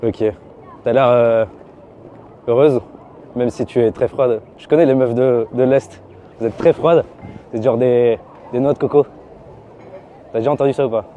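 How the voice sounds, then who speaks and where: A man's voice: mid-range voice, fairly even intonation, moderate pace, pauses often, phone-quality sound, slight background noise. A man chatting up a stranger in a metro passageway, flirty and teasing, with casual slang. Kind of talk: casual street chat.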